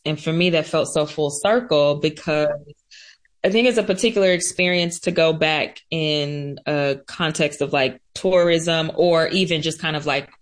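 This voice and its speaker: An American woman speaks as a guest in a conversational interview. Her voice is very low for a woman, and she is thoughtful, often searching for how to phrase things. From coastal North Carolina, US. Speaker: interview guest, farmer and florist.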